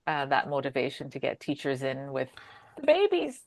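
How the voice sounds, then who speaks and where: A woman from Brazil in a casual podcast conversation, telling stories in an animated way and acting out quotes. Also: rumbling low, normal speed. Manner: animated storyteller who acts out quotes.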